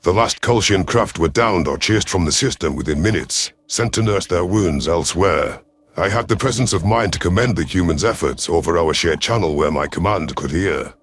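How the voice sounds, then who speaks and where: A man's voice: low voice, normal speed, husky. A man narrating a science fiction story in the first person, in a dramatic scripted reading style. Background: background music.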